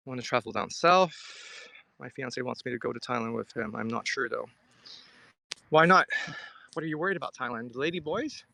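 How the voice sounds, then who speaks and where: A man from Hong Kong chats casually to his live-stream viewers. His voice is bright and forward with a frequent crackle of fry, and it sounds dry, with very little echo. He muses conversationally as he reads and answers comments. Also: medium pitch, brisk. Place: outdoors at a riverside.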